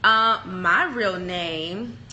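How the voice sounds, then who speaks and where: A woman's voice: fairly low pitch, drawn-out words, highs cut off, background noise. A young woman co-hosting a casual couple's chat show, rambling and playful with frequent giggles. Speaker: podcast co-host.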